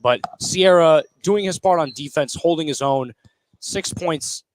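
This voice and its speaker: A man's voice: fairly high pitch, a little slow, a little hiss or hum, low-quality recording. A man giving casual color commentary on a live basketball game.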